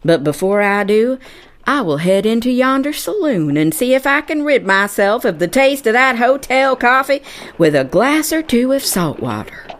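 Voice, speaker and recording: A woman's voice: a little high, big pitch swings, normal speed. A woman playing a bold, boastful gunslinger who speaks in grand, formal old-west phrases in an audio drama. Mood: resolute, formal. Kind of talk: children's western audio drama acting.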